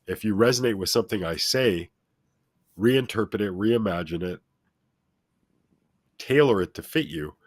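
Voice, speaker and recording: Deep, authoritative man in a dry studio sound. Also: animated, normal speed, long pauses, no room sound. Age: middle-aged or older. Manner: emphatic, instructive, addressing listeners directly. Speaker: talk show guest, self-help speaker. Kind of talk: motivational interview talk, speaking at length.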